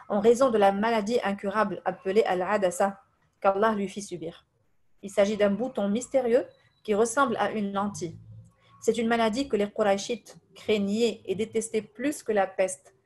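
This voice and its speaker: A woman from Tunisia whose first language is Arabic, giving a religious lesson in French, hesitating often with fillers, reading translations and reciting verses quickly. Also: mid-range voice. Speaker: religious teacher. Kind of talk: religious lesson over voice messages.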